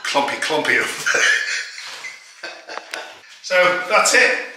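A man's voice: fairly high pitch, very animated, takes it slowly, recorded from a distance, noisy background. A man, chatting cheerily to a handheld camera in a tiled bathroom as a renovation vlogger. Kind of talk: casual chat to camera. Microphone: handheld camera at arm's length. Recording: echoey tiled room, faint music.

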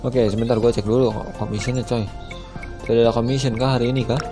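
A man's voice: mid-range voice, little pitch movement, quick pace. A young man with an Indonesian accent giving casual, slangy gameplay commentary into a headset mic. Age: young adult. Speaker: gaming YouTuber. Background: game music.

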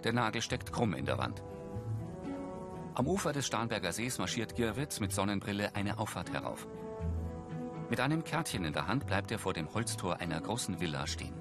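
A man's voice: lowish voice, sing-song, moderate pace, over music. A man reading scripted narration as an audio describer for a television drama.

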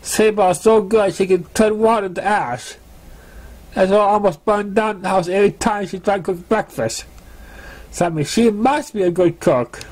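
Man putting on a brash, high-pitched pony filly. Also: moderate pace, darkish tone. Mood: defensive, boastful. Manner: brash, boastful, defensive. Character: cocky, careless young female pegasus pony filly.

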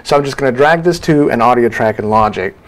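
A man in his thirties giving an informal software tutorial, casually talking through each step. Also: mid-range voice, quick pace. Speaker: sound design tutorial presenter.

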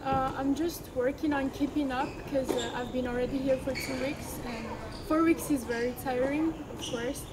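A woman's voice: sits high, fairly even intonation, moderate pace, hardly any pauses, very noisy. A teenage girl from Madagascar answering interview questions hesitantly at an outdoor tennis court. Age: teens. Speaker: junior tennis player, guest.

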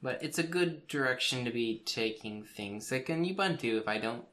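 A man co-hosting a tech podcast, chatting casually. He answers with short, wry remarks at a middling pitch. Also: lively intonation, moderate pace, fairly bright tone. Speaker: podcast co-host.

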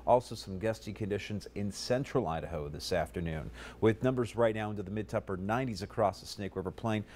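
A man in his thirties giving a live TV weather forecast in a studio, brisk and conversational, running through numbers steadily on a lapel mic. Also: lowish voice, a little mellow, slight background noise. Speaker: TV meteorologist. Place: TV studio, in front of a weather map.

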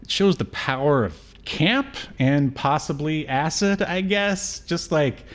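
A man's voice: a little high, expressive, takes it slowly. Middle-aged man hosting a casual podcast. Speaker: podcast host. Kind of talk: casual chat on a film review stream. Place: indoor room with shelves, video call.

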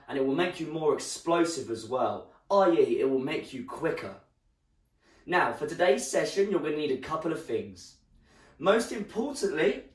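Croaky young guy leading a home workout, cheering people on, sounds far from the mic in an echoey room. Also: on the high side. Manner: encouraging, instructional, moving while talking. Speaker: fitness instructor. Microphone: far camera.

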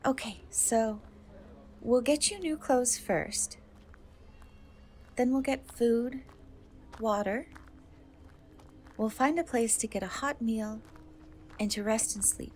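A gentle half-cat young woman played by a woman in a dark fantasy ASMR roleplay. She speaks slowly in a high, soft voice that often drops toward a whisper, timid and kindly. There is clear noise and ambience behind her. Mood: gentle, helpful. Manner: hesitant, soft-spoken, often slipping into whisper. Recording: noticeable background noise and ambience.

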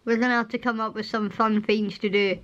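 A young Scottish woman with a strained, hoarse voice, chatting in a rambling home vlog and laughing often, filmed at arm's length in her living room. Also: on the high side, fairly even intonation, a little fast, a bit bright, dull audio. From Scotland. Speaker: vlogger. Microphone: camera at arm's length.

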